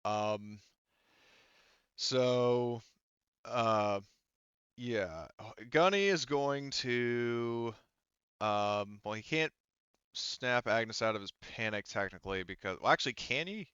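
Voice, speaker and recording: A man's voice: mid-range voice, lively intonation, slow, pauses often, a bit bright, clean tone, dry recording, muffled recording. A man speaking casually as a game master, hesitantly thinking aloud as he works through tabletop game rules.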